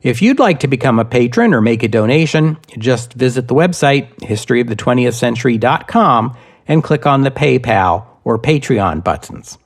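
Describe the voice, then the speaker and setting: A man hosts a scripted history podcast. His mid-pitched voice moves through the material in measured, deliberate phrases, as a narrator reading prepared text. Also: expressive.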